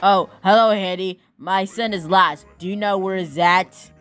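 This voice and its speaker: A worried cartoon animal parent, voiced by a woman in an amateur fandub. The delivery is slow and the pitch is mid-range, with music underneath. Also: a bit bright, close to an everyday voice. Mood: concerned.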